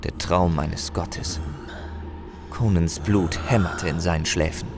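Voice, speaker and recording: A man narrating a fantasy audio drama in a solemn, measured, expressive storytelling manner. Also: low voice, background noise. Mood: solemn, awed. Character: solemn male narrator of a barbarian saga.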